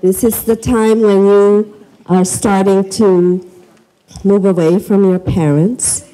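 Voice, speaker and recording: A woman's voice: medium pitch, fairly even intonation, slow, dark tone, low-quality recording. A middle-aged woman with a Filipino accent, giving an informal welcome speech into a handheld microphone as an event host in a school library. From the Philippines.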